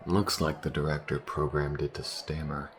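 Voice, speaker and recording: A man playing a wary, protective alien crewmate in an audio roleplay, speaking in a halting, guarded, sardonic way. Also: rumbling low, flat delivery, some chatter behind. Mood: worried, wary. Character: wary, protective male alien crewmate, gruff but caring.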